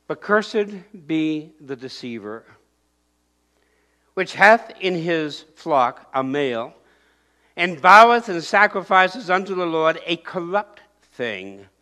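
Older man, pastor, church sermon, bright forceful voice, animated. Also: a little high, takes it slowly. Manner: animated preaching, shouting acted-out crowd voices and pointing. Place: church sanctuary.

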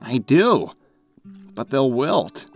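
A man's voice: medium pitch, very animated, extremely slow, creaky, soft background music, full character voice. A man voicing a laid-back, friendly teenage hedgehog hero with a casual, easygoing manner in a cartoon comic dub.

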